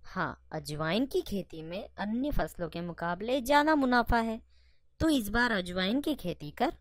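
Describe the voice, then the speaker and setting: A woman's voice: medium pitch, lively intonation, a little slow, no echo, studio quality, close to an everyday voice. A woman voicing a helpful village friend in a cartoon fable, speaking in a concerned, advising way.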